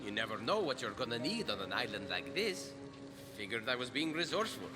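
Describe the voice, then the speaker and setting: A man's voice: mid-range voice, very animated, fairly quick, over music, lightly acted. A man playing a confident, roguish warrior, a teasing older brother in a video game. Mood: nonchalant. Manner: cocky, teasing. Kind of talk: video game cutscene acting.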